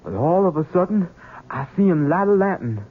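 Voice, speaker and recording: An actor playing a gentle, simple servant son, speaking haltingly in anguish in a radio drama. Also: male voice, a little high, sing-song, husky. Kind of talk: 1970s radio drama acting. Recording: phone-like narrow old radio broadcast.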